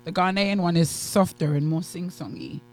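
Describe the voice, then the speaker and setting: A man's voice: high-pitched, moderate pace. A man co-hosting a live radio show, chatting playfully and telling stories. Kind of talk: casual live radio banter.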